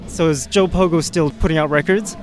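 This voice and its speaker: A man hosting a punk radio show, interviewing a guest in a relaxed, chatty way and laughing often. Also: on the high side, brisk.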